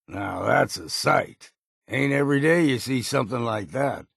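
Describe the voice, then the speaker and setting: An actor playing a gruff, wary old islander sailor in a video game, with a gravelly, husky voice and salty, folksy, blunt talk. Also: male voice, mid-range voice, dry recording, clean studio sound, full character voice. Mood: wry, wary. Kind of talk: scripted video game voice lines.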